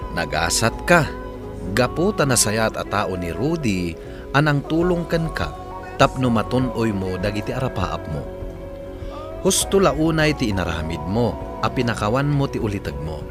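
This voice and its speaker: A male radio host gives scripted advice in a low, animated voice. Music plays clearly behind him. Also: moderate pace. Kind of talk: scripted radio address to a letter sender.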